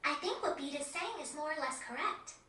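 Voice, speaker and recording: A woman playing a composed, courteous young girl who guards a forest, speaking in measured, articulate video game dialogue. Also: high voice, animated, pushed and bright. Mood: polite, calm. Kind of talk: video game cutscene acting. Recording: echoey, distant game audio.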